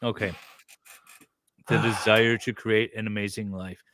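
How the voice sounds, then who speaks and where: A man's voice: lowish voice, flat delivery, a little slow, a bit bright, a little hiss or hum. A middle-aged man casually reading aloud and breaking off for wry, teasing asides as a co-host. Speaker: co-host reading and reacting to a document.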